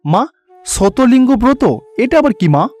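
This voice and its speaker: A man's voice: very high-pitched, very animated, a little slow, a little mellow, pitched above the performer's own voice, full character voice. A man voicing a devoted young boy god in a cartoon, speaking in an earnest, pleading way. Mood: devoted, insistent.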